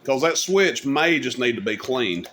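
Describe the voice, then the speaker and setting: A man's voice: mid-range voice, expressive, a little slow, fairly bright tone. A man giving a casual, folksy, rambling hands-on demonstration as a hobbyist video host. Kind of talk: casual hands-on product demo. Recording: clear background noise.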